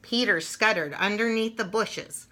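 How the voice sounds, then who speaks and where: A woman reading a children's story aloud in an expressive way, with dramatic emphasis for young listeners. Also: mid-range voice, unhurried. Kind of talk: children's storybook read-aloud with informal introduction.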